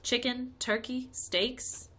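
A woman voicing a brash, boastful schoolboy in a storybook, with blunt, excitable delivery. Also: on the high side, takes it slowly, pitched above the performer's own voice, heavily acted. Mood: incredulous.

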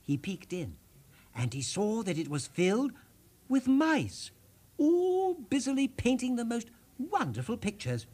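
A man narrates a children's storybook at a leisurely pace, with huge swings of intonation. His voice is husky and a little high, and he savors each description in a theatrical, deliberate manner. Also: wildly swooping pitch, darkish tone. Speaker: storyteller host. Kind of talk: expressive children's storybook reading.

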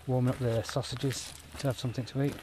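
Relaxed Australian man outdoors. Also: medium pitch, fast talker, background noise. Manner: relaxed, chatty, rambling with fillers. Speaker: outdoor gear vlogger. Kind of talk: casual vlog narration. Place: outdoors in bushland at a trail shelter. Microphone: action camera on the body or nearby. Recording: outdoor camera audio with clear bush ambience.

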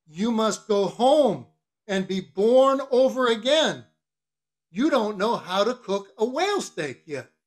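Narrator doing a bossy whaling officer, slow and teasing, pitch jumps all over, audiobook style. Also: big pitch swings. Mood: mocking, interrogating. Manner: commanding, teasing, mock-solemn questioning. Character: bossy, mocking whaling-ship officer, a hearty man at supper. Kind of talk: literary audiobook character reading.

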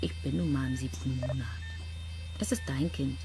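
A woman's voice: rumbling low, normal speed. An actress in a radio crime drama playing a defiant, possessive pregnant girlfriend of a rock singer, intense and emotional. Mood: intimate, obsessive. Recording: music behind the voice.

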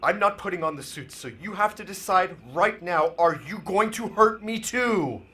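A man's voice: sits high, animated, normal speed, sharp tone. An actor playing a resigned, earnest man on the run, pleading with his lover in an audio drama. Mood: firm, coaxing.

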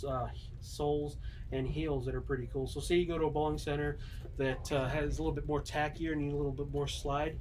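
A young man casually showing bowling shoes in a small pro shop, relaxed and full of ums and uhs, heard from a camera a few meters away. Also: medium pitch, a little hiss or hum, rough recording. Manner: easygoing, many ums and uhs, showing items in hand. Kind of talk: casual product walkthrough. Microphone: camera mic at a few meters.

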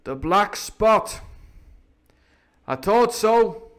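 A man voicing a crafty older pirate sea cook who talks in a hearty, coaxing, gruff way. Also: sits high, lively intonation, extremely slow, fairly bright tone, pitched above the performer's own voice, exaggerated voice. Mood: wary.

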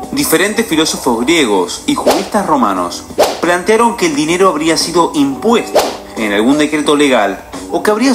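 A man in his forties giving a scripted explainer narration, posing questions and walking through ideas with an animated, questioning delivery. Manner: animated, questioning delivery with wide pitch swings. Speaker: video essay presenter. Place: indoor studio with painted wall. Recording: music bed behind the voice.

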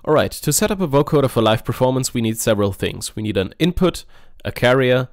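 A man hosting a software tutorial in a casual, offhand, informal way. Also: mid-range voice, lively intonation, fast talker, no echo, studio quality. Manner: informal, offhand, mildly sweary. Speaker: music software tutorial host. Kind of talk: casual screen-recorded tutorial.

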